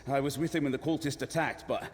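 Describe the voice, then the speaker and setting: A man's voice: mid-range voice, fairly even intonation, fast, natural voice. Man playing a grave fantasy ally, echoing hall. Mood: concerned. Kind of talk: video game voice acting. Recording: long hall reverb.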